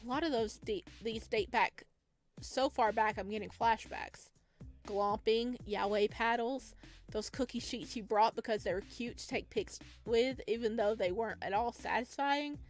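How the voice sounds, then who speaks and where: A woman reading a visual novel aloud as a let's play host, dropping chatty, expressive reactions between the narration. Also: fairly high pitch, unhurried, soft background music.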